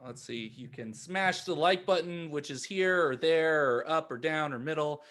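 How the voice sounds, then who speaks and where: A man co-hosting a comedy podcast in casual banter, self-deprecating and a little halting. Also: a little high, a bit bright. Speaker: podcast co-host.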